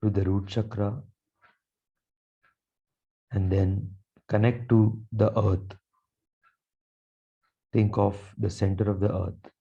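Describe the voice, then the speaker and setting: Very dark, low-voiced man guiding meditation. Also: creaky, breathy edge. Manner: slow, calm, soothing delivery with long pauses. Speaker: meditation guide, Reiki teacher. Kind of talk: guided meditation with affirmations. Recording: dull, muffled lo-fi sound.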